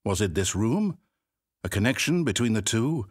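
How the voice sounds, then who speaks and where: Wry male game narrator, low, slightly husky, dry studio sound. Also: big pitch swings, no room sound. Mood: gushing. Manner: measured, wry and theatrical, with sweeping pitch. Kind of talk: scripted narration. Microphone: studio microphone. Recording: dry close studio recording.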